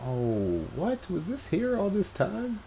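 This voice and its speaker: A man's voice: high voice, huge pitch swings, slow, vocal fry, warm tone, a little hiss or hum, rough recording. A man giving casual live game commentary as a streamer, with slangy, reactive asides. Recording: game music behind the voice. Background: video game music and sounds.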